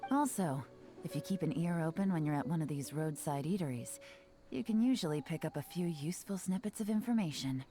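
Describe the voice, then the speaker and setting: A woman voicing a shrewd, playful young spymaster in a video game, teasing and self-assured, with a smooth delivery. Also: low-pitched, expressive, fairly quick. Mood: relaxed, musing. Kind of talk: scripted video game character dialogue. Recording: game music behind the voice.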